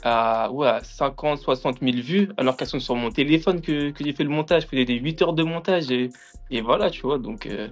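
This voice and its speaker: A man's voice: medium pitch, brisk, soft background music. A young man from France, a podcast guest, chatting in an informal, slangy way full of little tag phrases. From France, of Antillean background. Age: young adult. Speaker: podcast guest, music media content creator. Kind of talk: casual chat, slangy.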